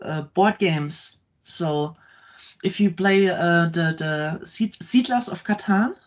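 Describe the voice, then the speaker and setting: Slow, halting middle-aged woman. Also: lowish voice, lo-fi sound. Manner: halting, many ums and restarts. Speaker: guest, content creator. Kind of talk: casual interview chat.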